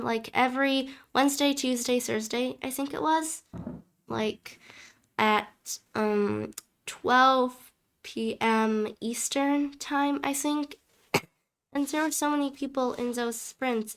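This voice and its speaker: A woman's voice: fairly high pitch, fairly bright tone, clear, resonant tone. A young woman hosting an interview, chatting casually with lots of likes. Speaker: host.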